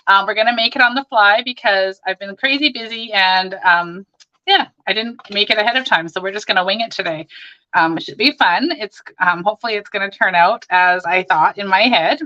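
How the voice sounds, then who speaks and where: A hoarse woman hosting a live crafting stream, chatting and greeting viewers by name as she works. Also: medium pitch, brisk, bright, forceful tone, dull audio. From Canada.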